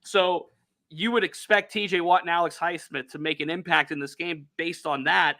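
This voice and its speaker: Man, Youngstown accent, bright and slightly high, upbeat co-host running a sports podcast. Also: moderate pace. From Northeast Ohio. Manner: energetic, reads out viewer comments.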